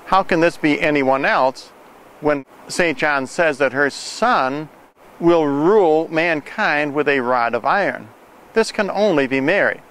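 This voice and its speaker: Older man, bright forceful voice. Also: a little high, a little slow, clear, resonant tone, low-quality recording. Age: older, 60s-70s. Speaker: video preacher, host of a prophecy program. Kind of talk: earnest scripted religious monologue to camera.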